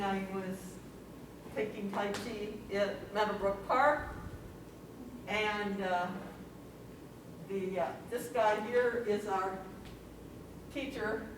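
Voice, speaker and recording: A woman giving casual commentary on her photos at a club meeting, halting and informal, with chuckles between remarks. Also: mid-range voice. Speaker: camera club member presenting photos. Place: library meeting room, recorded from afar. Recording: very echoey room, distant mic, noisy.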